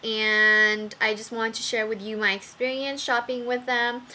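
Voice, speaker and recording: A young woman reviewing makeup brushes in a casual vlog, talking slowly with many ums while her voice wears out. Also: fairly high pitch, little pitch movement, pushed and bright, slight background noise, low-quality recording. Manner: slow, with many ums and fillers. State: losing her voice. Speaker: beauty vlogger.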